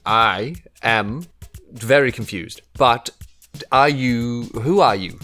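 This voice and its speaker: A woman's voice: extremely low pitch, slow, a bit bright, soft background music. A woman, role-playing in a tabletop game with an earnest, polite, slightly theatrical manner. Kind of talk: improvised in-character role play.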